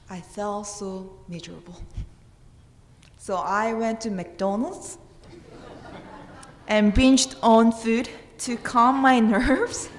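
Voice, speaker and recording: A woman's voice: fairly high pitch, fairly bright tone. Korean-accented woman preaching, roomy church. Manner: earnest, with rising emphatic questions. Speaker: pastor. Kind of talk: sermon. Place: church sanctuary at a pulpit. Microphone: pulpit microphone. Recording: roomy church with long reverb.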